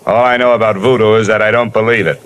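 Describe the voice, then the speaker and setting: An actor playing a wisecracking insurance investigator who narrates a 1950s radio drama in a dry, hard-boiled way. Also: male voice, fairly low pitch, steady tone, quick pace, fairly bright tone, a little hiss or hum, natural voice. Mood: wry. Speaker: narrator and lead.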